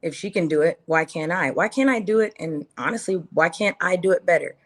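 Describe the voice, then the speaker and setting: Low-pitched woman, emphatic storyteller. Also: animated, fairly quick. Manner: emphatic, repeats phrases and rhetorical questions. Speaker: interview guest. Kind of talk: casual personal storytelling.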